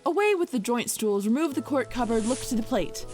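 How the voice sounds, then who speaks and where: A woman's voice: fairly high pitch, expressive, a little fast, a little mellow, soft background music, lightly acted. A woman playing a bossy, harried household servant in a Shakespearean audio play.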